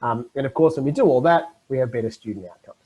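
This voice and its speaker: A middle-aged man presenting a webinar to teachers over a video call, explaining in an animated way. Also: medium pitch, fast, slightly rough, low-quality recording.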